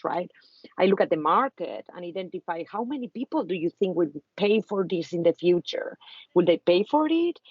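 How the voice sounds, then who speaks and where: A woman with a Spanish accent talks over a muffled video call, her voice fairly low and close and dry. She speaks with frequent fillers and restarts as she explains her work to students. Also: dry recording. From Spain. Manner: hesitant, many fillers and restarts. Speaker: venture capitalist guest speaker. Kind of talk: online talk to students.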